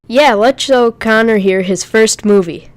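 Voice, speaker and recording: Woman playing a high-voiced chatty teenage boy hero. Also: measured pace, close to an everyday voice. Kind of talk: amateur audio drama acting.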